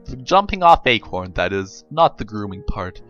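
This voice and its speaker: A man giving a dramatic reading of a story, with emphatic phrasing and deliberate pauses. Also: lowish voice, sing-song, a bit bright, highs cut off, soft background music. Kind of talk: dramatic audiobook-style narration with pictures.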